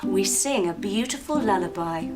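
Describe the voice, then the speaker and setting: A woman leading a children's yoga story in a playful, expressive, encouraging way, acting out the movements and sounds as she goes. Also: medium pitch, moderate pace, loud music behind the voice. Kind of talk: animated storytelling lesson for young children.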